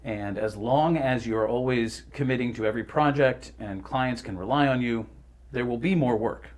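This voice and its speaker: A man's voice: medium pitch, normal speed. A man, a voice actor, talking earnestly and articulately as a guest in a casual interview.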